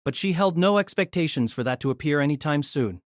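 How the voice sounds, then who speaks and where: A woman's voice: deep voice, expressive, brisk, no echo, clean studio sound. A woman narrating a scripted, dramatized story as its narrator.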